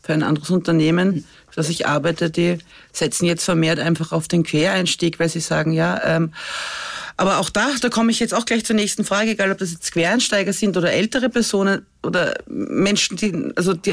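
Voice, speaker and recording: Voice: mid-range voice, no room sound. Podcast host; rambling, hesitant questioner, mid voice, dry sound. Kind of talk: podcast discussion.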